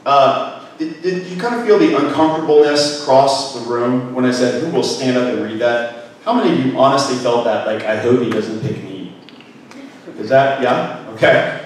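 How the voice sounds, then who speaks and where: A middle-aged man giving a lively workshop talk on a handheld mic in a conference room, asking the audience questions. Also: mid-range voice, normal speed. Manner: energetic, gestures, asks the room questions. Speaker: business speaker. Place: conference room with projector screen. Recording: echoey room from far off, faint noise.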